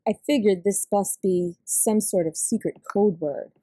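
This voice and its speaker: A woman reading a picture book aloud expressively, with deliberate delivery and dramatic pauses. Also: medium pitch, dark tone, dry recording, clean studio sound. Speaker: storybook reader.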